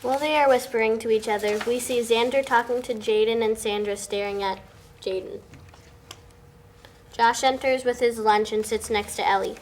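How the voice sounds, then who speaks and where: Female narrator, young, fairly high voice, reading screenplay action lines, faint background noise. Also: steady tone, normal speed. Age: young adult. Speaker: stage-direction narrator. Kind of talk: scripted narration, table read.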